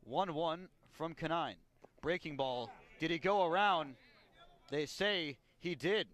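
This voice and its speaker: An American man works as a radio play-by-play announcer at a baseball game. His voice sits a little higher than average for a man, and he delivers live commentary on the game. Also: slow pace, a bit bright. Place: ballpark radio broadcast.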